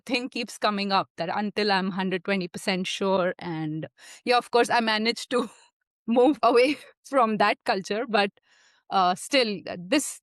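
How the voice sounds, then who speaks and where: A woman on an online panel sharing a reflective personal account, halting and full of fillers, laughing often, over a video call. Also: fairly high pitch, no room sound, studio quality. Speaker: panel participant.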